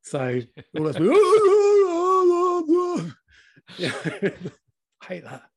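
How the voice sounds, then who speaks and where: A man in his forties with a slightly croaky voice, co-hosting a casual podcast chat, laughing often and swearing freely. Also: extremely high pitch, huge pitch swings, very slow. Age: 40s. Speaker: podcast co-host.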